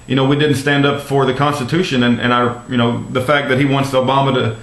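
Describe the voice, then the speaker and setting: A man's voice: medium pitch, flat delivery, fast. An American man speaking as an activist caller on the phone, earnest and polite, hesitating and repeating himself as he makes his case. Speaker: activist caller phoning a congressman's office. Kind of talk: earnest phone conversation, advocacy. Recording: roomy recorded phone call.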